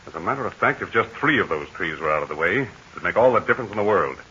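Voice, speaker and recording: An actor playing a brave, steady adult aviator hero in 1940s radio acting, calm and reassuring in manner. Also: male voice, deep voice, fast. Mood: encouraging. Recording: hissy, muffled 1940s radio transcription.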